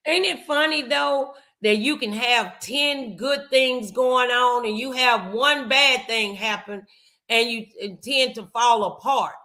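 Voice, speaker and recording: An American woman in middle age hosts a motivational talk show. Her voice is bright and forceful, and she takes her time, placing her words deliberately and with emphasis. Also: on the high side.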